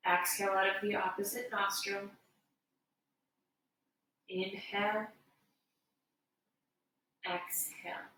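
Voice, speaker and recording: A woman giving guided meditation instruction as a yoga teacher, in a gentle, unhurried, soothing way. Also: mid-range voice, level tone. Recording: echoey room, distant mic, faint noise.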